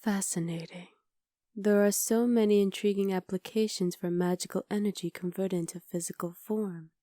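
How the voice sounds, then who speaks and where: A woman playing a haughty, menacing witch conqueror in an ASMR roleplay, speaking in soft, measured, formal sentences with a hushed, breathy delivery. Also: medium pitch, fairly even intonation, dry recording, studio quality, lightly acted. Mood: intrigued. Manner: imperious, measured, formal diction.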